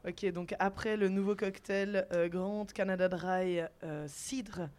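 A woman's voice: mid-range voice, steady tone, takes it slowly, a little mellow. Playful woman hosting on radio. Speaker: radio host, interviewer. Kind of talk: playful live radio interview.

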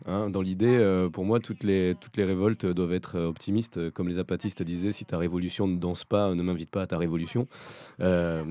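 Low, mellow man talking in an interview. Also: no echo. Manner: hesitant, searching for words. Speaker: fiction writer, special educator. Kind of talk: interview, explaining own work.

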